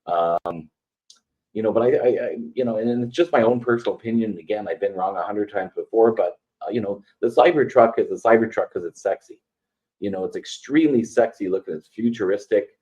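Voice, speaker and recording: A man with a lowish voice co-hosts an industry podcast, weighing his opinions carefully and leaning on fillers. His audio comes through dull and lo-fi. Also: a little mellow. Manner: hedging, filler-heavy, measured. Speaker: podcast co-host. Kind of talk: casual industry chat.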